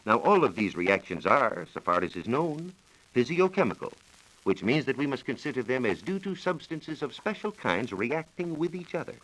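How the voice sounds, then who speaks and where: An American man giving a scripted science talk on 1940s radio, explaining in a measured, formal manner. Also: mid-range voice, big pitch swings, fairly bright tone, rough recording. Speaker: science reporter explaining research. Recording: old 1940s radio broadcast recording.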